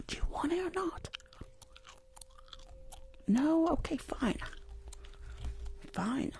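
A woman in her twenties doing hushed ASMR roleplay and livestream chat, murmuring repetitive little phrases close to the microphone. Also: high-pitched, animated, slow pace, gravelly, slight background noise. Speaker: ASMR creator and livestream host. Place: small indoor room at home. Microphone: close desktop microphone, earphones on.

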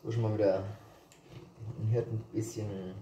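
Relaxed man with a regional German dialect. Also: lowish voice, normal speed, distant mic. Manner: relaxed, rambling, with hesitations and chuckles. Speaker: home cook vlogger. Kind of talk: casual cooking tutorial. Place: home kitchen indoors. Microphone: camera set on the counter, speaker off to the side. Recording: roomy kitchen, voice away from mic, faint noise.

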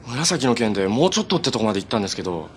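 An actor playing a cheerful, earnest young superhero in a live-action TV drama, polite and easygoing. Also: male voice, fairly low pitch, big pitch swings, racing, slight background noise. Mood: casual, sheepish. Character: cheerful, earnest young male hero who fights monsters.

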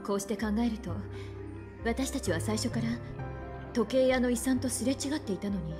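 An actress playing a composed, thoughtful woman in a video game, reasoning calmly and with assurance. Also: fairly high pitch, moderate pace, natural voice. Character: composed, thoughtful, mature female traveling companion. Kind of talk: Japanese game voice acting. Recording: long reverb, clear music behind.

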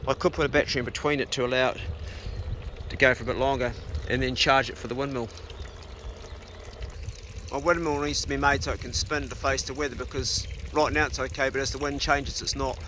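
A man's voice: mid-range voice, fairly even intonation, fairly quick, sharp tone. A middle-aged man with a New Zealand accent and an ordinary mid-range voice talks in an easy, practical way. He coaxes his dog with "good boy" and "mate" in between plain explanations. He is recorded outdoors on a body-worn action camera, with faint background noise. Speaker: homesteading vlogger. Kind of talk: casual explanatory vlog, talking to his dog. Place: outdoors on a rural homestead and in bush.

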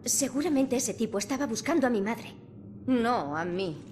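Woman voicing young female game medium, Castilian Spanish accent, higher pitch, sarcastic, defiant, guarded, faint background noise. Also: expressive, normal speed, a little mellow, natural voice. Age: young adult. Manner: curt, snarky. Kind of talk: video game cutscene dubbing.

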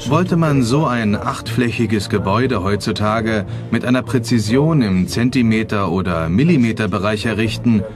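A man's voice: on the low side, moderate pace, few pauses. A man giving expert commentary in a documentary, explanatory and matter-of-fact. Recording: music bed under the voice. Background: background music.

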